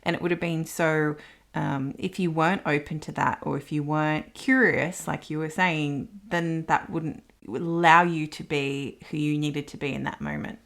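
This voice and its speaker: A woman's voice: deep voice, normal speed, gravelly, fairly bright tone. A woman hosting a conversational interview podcast, talking in an affirming way. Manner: affirming, reflects back what the guest said. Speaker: podcast host.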